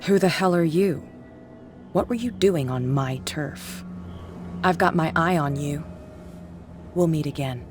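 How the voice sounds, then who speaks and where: Man as a sneering, higher-pitched gangster. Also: unhurried, pauses often, soft background music, lightly acted. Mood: threatening. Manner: sneering, threatening. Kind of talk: video game voice acting.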